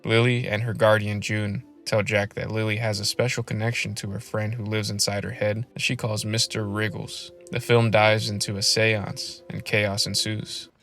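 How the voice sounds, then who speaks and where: A man hosting a scripted film review video essay in measured narration. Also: on the low side, flat delivery, a bit bright, soft background music.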